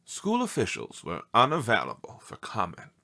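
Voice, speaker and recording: A man reading a children's storybook aloud in an expressive narrating style. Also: fairly low pitch, moderate pace. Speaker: storybook reader.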